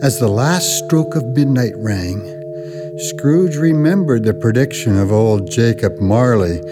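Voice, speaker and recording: Slow, solemn male storyteller over music. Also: medium pitch, animated. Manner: measured, solemn. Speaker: narrator. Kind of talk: scripted dramatic storybook narration. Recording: studio narration over loud music.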